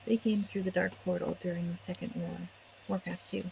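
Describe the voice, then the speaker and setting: Creaky-voiced woman giving casual game commentary. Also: fairly low pitch, fairly even intonation, brisk, a little mellow, slight background noise, low-quality recording. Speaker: video game let's player. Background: faint game music.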